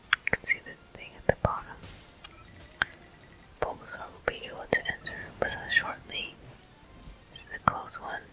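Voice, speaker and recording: A person whispering unhurried ASMR commentary as the host of a video game walkthrough, in a soft, breathy, unvoiced voice. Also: low-quality recording.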